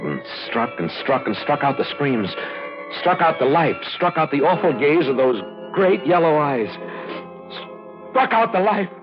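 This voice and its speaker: An actor as an unhinged, theatrical murderer, wildly swooping voice. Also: male voice, fairly high pitch, close to an everyday voice. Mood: frenzied, horrified. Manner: theatrical, feverish, declamatory, repetitive. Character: unhinged middle-aged actor playing a guilt-crazed murderer. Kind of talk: 1940s-50s radio thriller acting. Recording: muffled old radio broadcast recording.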